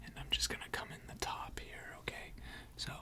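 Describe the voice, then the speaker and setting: A person whispering an ASMR repair roleplay in a breathy, gentle and reassuring way, with unhurried, hesitant pauses. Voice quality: breathy, mostly unvoiced whisper. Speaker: ASMR creator role-playing a robot repair technician.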